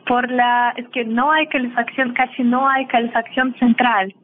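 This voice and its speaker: A Russian woman with a Russian accent, speaking Spanish as a second language. She is a guest in an interview, halting and searching for words with frequent fillers. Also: fairly high pitch, steady tone, slight background noise. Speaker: guest, language teacher and film translator. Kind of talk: casual interview over a call. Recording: tinny phone line.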